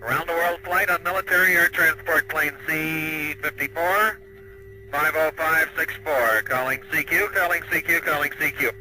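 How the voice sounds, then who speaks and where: A man's voice: on the high side, fairly even intonation, normal speed, bright, forceful tone, a little hiss or hum, rough recording. A man working as an amateur radio operator, calling out call signs over a shortwave transmission. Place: aboard a military plane.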